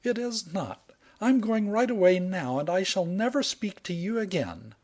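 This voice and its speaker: A man voicing an excitable young heroine in a read-aloud story. The voice sits far above his own and rises sharply in alarm or outrage. She is emotional and quick to take offence. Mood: indignant. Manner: high-pitched, emotional, indignant. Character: anxious excitable young woman in peril.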